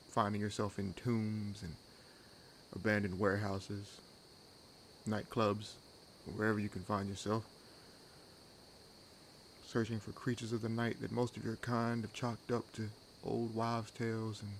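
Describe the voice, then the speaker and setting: A man playing a centuries-old, wry and world-weary vampire in an audio roleplay, musing dryly to his companion with unhurried pauses. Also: lowish voice. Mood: musing, curious. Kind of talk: audio roleplay acting addressed to the listener. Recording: clear background hiss and night ambience. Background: added forest ambience with crickets and insects.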